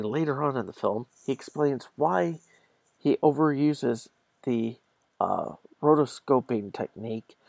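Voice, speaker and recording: A man hosting a podcast, reading an article aloud with commentary in a halting delivery that has stumbles and self-corrections. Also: medium pitch, gravelly, darkish tone, no room sound. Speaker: podcast host.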